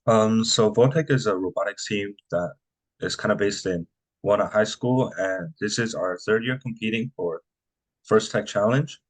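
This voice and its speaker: A man's voice: on the low side, normal speed, low-quality recording. A young man from a student robotics team presenting casually and hesitantly, with frequent "um".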